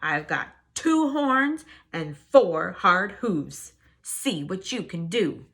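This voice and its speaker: A woman voicing a big male billy goat, bold, loud and challenging. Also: fairly low pitch, sing-song, slow, heavily acted. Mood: defiant.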